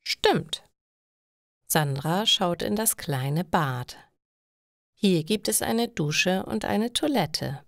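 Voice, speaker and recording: Female story narrator, lower-pitched with vocal fry, unhurried delivery for learners. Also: animated, dry recording, clean studio sound. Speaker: narrator reading stories for German learners. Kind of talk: slow scripted story narration for language learners.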